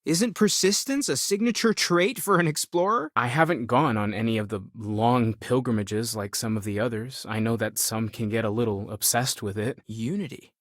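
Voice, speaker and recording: A man's voice: medium pitch, normal speed, hardly any pauses, no echo, studio quality, natural voice. A man playing an earnest, curious theological scholar turned space explorer in a video game, thoughtful and articulate. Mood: exasperated, insistent, then pensive. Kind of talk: video game character dialogue.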